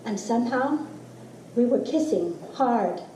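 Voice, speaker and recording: A middle-aged woman reading a personal essay aloud as expressive, deliberate storytelling with dramatic pauses. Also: a little high. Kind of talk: literary reading of a personal essay to a live audience. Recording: roomy, distant mic with faint noise.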